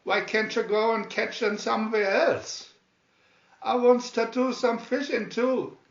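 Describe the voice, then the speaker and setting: A man putting on a high-pitched folksy cartoon sailor. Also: steady tone, a little slow, vocal fry, roomy sound, dull audio, heavily acted. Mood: indignant. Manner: folksy mangled grammar, emphatic. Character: gruff good-natured cartoon sailor man, folksy and plucky.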